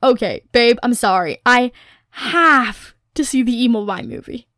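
A woman playing a dorky, affectionate young girlfriend in an intimate ASMR roleplay, expressive and teasing, close on the mic. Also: a little high, unhurried, no room sound. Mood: excited, pleading. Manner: playful, expressive, teasing, nerdy rambling. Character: playful, dorky, Halloween-obsessed young girlfriend, excitable and affectionate. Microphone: close, dry studio microphone.

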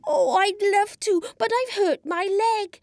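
A woman's voice: extremely high pitch, slow, a little mellow, dry recording, clean studio sound, voice pushed up. A woman voicing a greedy, sly male animal who whines and complains in an exaggerated way.

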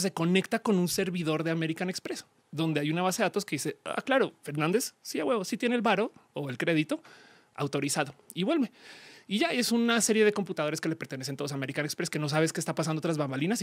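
A woman in her thirties with a Colombian accent, a podcast guest explaining things casually with slang and acted-out exchanges, on a studio boom mic. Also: dry recording. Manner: animated, slangy, acts out quoted exchanges. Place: small indoor studio. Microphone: studio mic on boom arm, headphones.

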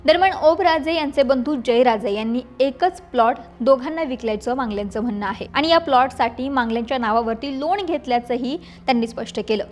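A woman, reading scripted news narration as a presenter. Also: high voice.

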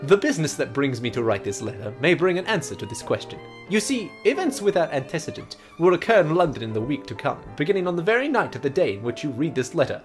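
A man reading out a letter as a haughty, roguish French gentleman thief, in a grandiose, mock-French voice. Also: on the high side, very animated, fast, hardly any pauses. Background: game music.

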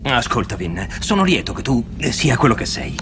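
An actor playing a serious, determined young hero in a video game dub. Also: male voice, mid-range voice, sing-song, normal speed, background noise, close to an everyday voice. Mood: earnest.

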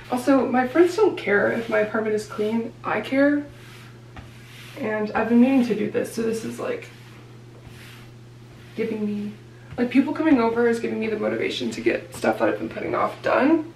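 Young woman vlogger, slightly high voice, chatty, thinking aloud; camera mic in an apartment, light hum. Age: 20s. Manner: chatty, thinking aloud while tidying and packing. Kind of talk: casual vlog chat. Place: small apartment bathroom and bedroom, indoors.

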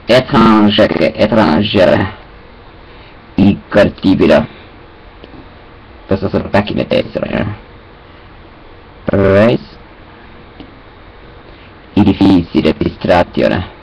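A man's voice: low-pitched, slow pace, pauses often, a little mellow, husky, lo-fi sound. A young man mumbling casually to himself at a webcam in a small room, in disjointed phrases with sing-song filler sounds. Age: young adult. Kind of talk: rambling casual self-talk to webcam. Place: small cluttered room indoors.

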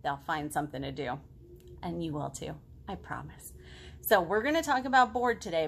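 A woman in her thirties talking to young children as a storytime librarian, expressive, with simple questions and pauses. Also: on the low side. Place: home living room.

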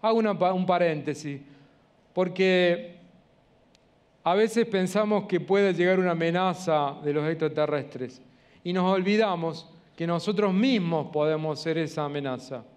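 High-voiced Argentine man lecturing. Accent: Argentine, from Córdoba. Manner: measured, earnest, with frequent hesitations. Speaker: guest lecturer. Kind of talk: public science talk. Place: auditorium with a seated audience.

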